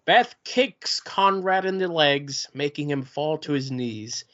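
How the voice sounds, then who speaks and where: A man reading the stage directions aloud at a play's table read, plain and steady. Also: on the high side, slow. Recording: muffled lo-fi recording.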